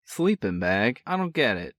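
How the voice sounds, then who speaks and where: A man's voice: on the low side, very animated, normal speed, fairly bright tone, no room sound, clean studio sound. A man reading a story, voicing a groggy, sarcastic younger brother woken by a phone call. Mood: sardonic. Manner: sardonic, teasing.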